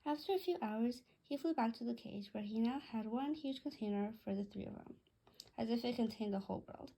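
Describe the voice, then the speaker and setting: A woman's voice: on the high side, fairly quick, gravelly, mellow, low-quality recording. A woman reading a story aloud, occasionally stumbling over words and correcting herself. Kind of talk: read-aloud story narration.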